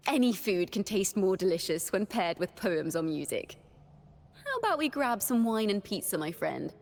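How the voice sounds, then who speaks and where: A woman voicing a young, horned wandering bard in a video game, a cheerful yet wistful poet who speaks in lyrical, expressive storytelling with a reverberant tail. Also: medium pitch, moderate pace. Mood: cheerful, chatty. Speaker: game character voice. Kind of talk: scripted character monologue.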